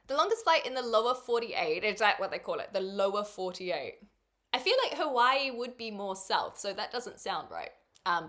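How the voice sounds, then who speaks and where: A woman's voice: mid-range voice, fairly bright tone. A young woman from New Zealand with a faint New Zealand accent, streaming and chatting casually with viewers, thinking aloud with lots of fillers and easy laughs.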